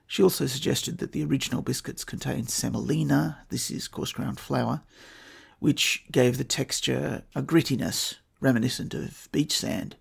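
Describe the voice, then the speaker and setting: An Australian man narrates his podcast in a steady, matter-of-fact way. His voice has a heavy gravelly fry and a husky, rough edge, sitting at an ordinary middle pitch. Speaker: podcast host. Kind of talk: scripted solo podcast narration.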